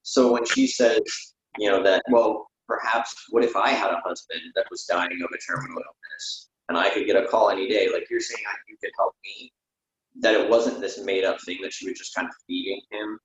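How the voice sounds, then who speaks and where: Male playwright in casual discussion, deep voice, hesitant phrasing, roomy sound. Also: fairly even intonation. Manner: hesitant, halting. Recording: muffled, echoey room audio.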